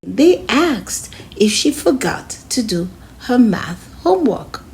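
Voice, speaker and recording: A woman reading a children's story aloud in an expressive way, speaking to her young listeners as a host. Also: a little high, slow pace, slight background noise, poor audio. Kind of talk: storybook read-aloud narration.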